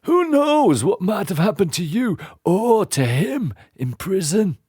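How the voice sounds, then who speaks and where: A man's voice: high voice, big pitch swings, a little slow, a little mellow, slightly rough, dry recording, studio quality, voice pushed up, heavily acted. A man doing a sly, menacing henchman.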